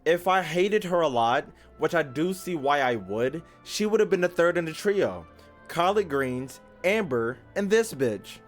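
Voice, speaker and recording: A man's voice: a little high, expressive, measured pace, faint music behind. A man with an American accent giving casual, slangy, profane and teasing livestream commentary as he plays a game. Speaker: game streamer.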